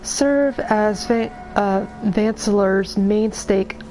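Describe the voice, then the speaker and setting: A woman streaming a video game, reading in-game lore aloud in a halting way with many restarts, her voice going hoarse. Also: medium pitch, mellow, a little hiss or hum, rough recording. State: losing her voice. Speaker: gameplay streamer.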